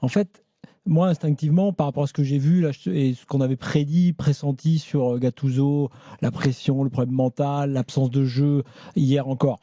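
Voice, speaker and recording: A man hosting a studio football debate, putting quick, probing questions to the panel. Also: mid-range voice, steady tone, mellow, no echo.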